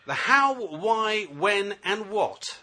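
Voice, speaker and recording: Lively male host, bright husky tone, enthusiastic and stumbling. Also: high voice, very slow. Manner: enthusiastic, warm, stumbling restarts. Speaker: podcast host. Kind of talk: casual studio chat.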